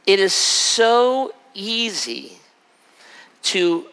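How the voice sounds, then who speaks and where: Raspy-voiced pastor in a reverberant hall. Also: male voice, high voice, a bit bright. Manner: conversational, acts out quick asides. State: raspy from a cold trip. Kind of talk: sermon.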